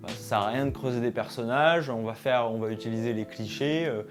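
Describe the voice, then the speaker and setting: A man's voice: medium pitch, brisk, soft background music. A young man hosting a conversational video essay, speaking informally and straight to his viewers. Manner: informal, addresses viewers directly.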